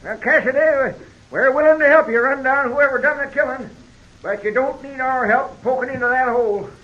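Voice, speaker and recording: A man's voice: very high voice, normal speed. An actor playing a blunt townsman in an old radio drama. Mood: dismissive. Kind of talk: old-time western radio drama acting. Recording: dull noisy vintage radio recording.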